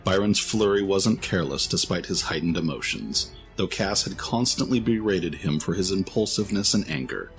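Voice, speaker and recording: A man narrates a fantasy tale from a script. His voice sits very low, and music plays behind him as he tells the story. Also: lively intonation, normal speed, hardly any pauses.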